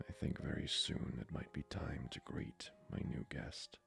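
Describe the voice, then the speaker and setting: A man playing a courtly, flirty vampire lord hungry for blood in an intimate ASMR roleplay, breathy and formally polite. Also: rumbling low, fairly bright tone, soft background music, full character voice. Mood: brooding, longing. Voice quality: breathy, whispery, gravelly. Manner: formal, slow, seductively polite.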